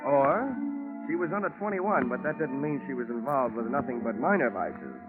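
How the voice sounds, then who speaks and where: Over an old, band-limited radio recording with prominent music comes a man acting a hard-boiled American investigator. He is cynical and wry, landing deadpan wisecracks with widely swinging intonation in 1940s detective style. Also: medium pitch, moderate pace, narrow, tinny audio, natural voice. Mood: wry narrating. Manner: wry, deadpan punchlines with theatrical emphasis. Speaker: radio drama lead and narrator.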